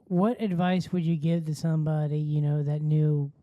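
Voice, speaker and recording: A man's voice: on the high side, fairly even intonation, normal speed, no room sound, narrow, tinny audio. A man with a Southern accent, co-hosting and asking guests casual questions.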